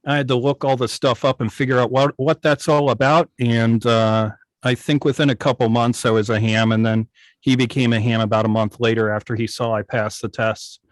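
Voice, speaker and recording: Middle-aged male hobbyist guest, mid-pitched, thoughtful and halting, casual storytelling, recorded dry on a video call. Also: steady tone, no room sound. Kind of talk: casual reminiscing in an online club interview.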